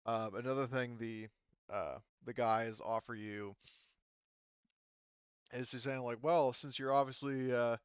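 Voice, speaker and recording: A man's voice: medium pitch, steady tone, a little slow, pauses often, clear, resonant tone, no room sound, dull audio. A man running a tabletop game as game master, talking loosely with many fillers over a voice call.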